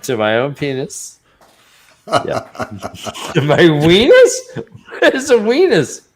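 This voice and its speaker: A man's voice: a little high, huge pitch swings, takes it slowly. A man co-hosting a casual book-discussion podcast, joking around and laughing often. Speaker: podcast co-host.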